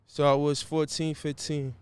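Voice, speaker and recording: Laid-back man, smooth voice. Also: fairly high pitch, flat delivery, normal speed. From Brazil. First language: Portuguese. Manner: laid-back slangy talk, keeps saying "you feel me". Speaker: guest musician. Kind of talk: casual interview chat.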